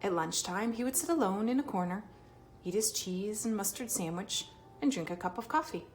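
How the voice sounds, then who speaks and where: A woman reading a children's picture book aloud expressively, with dramatic emphasis and pauses. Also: medium pitch, expressive, a little hiss or hum. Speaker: read-aloud storyteller.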